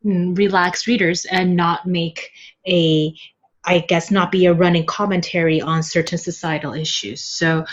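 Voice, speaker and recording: A woman guest explaining her views in a casual discussion, pausing to find her words. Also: fairly low pitch, fairly even intonation. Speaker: podcast guest. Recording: slightly dull, lo-fi audio.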